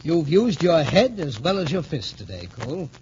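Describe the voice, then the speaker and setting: Authoritative military academy major, animated delivery. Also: male voice, fairly high pitch, moderate pace. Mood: pleased, admiring. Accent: American. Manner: authoritative, emphatic, formal. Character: stern but appreciative major heading a boys' military academy. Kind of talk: 1940s radio drama acting. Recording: muffled, noisy 1940s radio recording.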